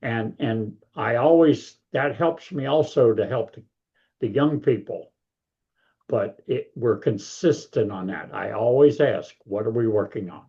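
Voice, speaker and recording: A man's voice: mid-range voice, unhurried, lo-fi sound. An older man, a grandparent, talking casually as an author guest in an interview, in a big voice with a folksy, rambling manner.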